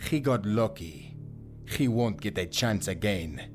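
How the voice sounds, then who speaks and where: An actor playing a resentful, confident villain prince in an audio drama, curt and coldly menacing. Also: male voice, on the low side, lively intonation, takes it slowly. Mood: cold menace. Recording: music behind the voice.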